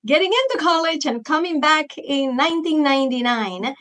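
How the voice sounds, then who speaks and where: A woman in her forties from Venezuela with a Venezuelan Spanish accent, a Spanish speaker, chatting as a guest in an interview, animated, with quick restarts. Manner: animated, quick restarts, jumps into high-pitched quoted asides. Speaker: guest, marketing expert. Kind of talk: casual interview chat.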